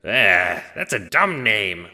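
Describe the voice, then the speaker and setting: Gruff, growling, weary skeletal Death, swooping pitch. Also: male voice, very animated, slow pace, sharp tone, in a large hall, heavily acted. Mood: weary, blunt. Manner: blunt, dismissive, swearing. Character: huge gruff skeletal Death, bored, weary, crude, out of touch. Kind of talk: audio drama character acting.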